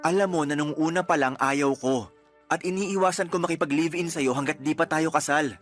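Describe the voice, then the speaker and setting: An actor playing a principled, conservative young boyfriend in a Filipino radio drama, speaking in an earnest, reproachful and protesting manner. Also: male voice, fairly high pitch, a little fast, a bit bright, faint music behind, close to an everyday voice.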